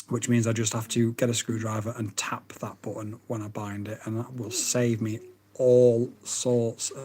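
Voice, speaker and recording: A man with a croaky, hoarse voice, hosting a casual livestream and chatting excitably with viewers. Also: medium pitch. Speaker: livestream host.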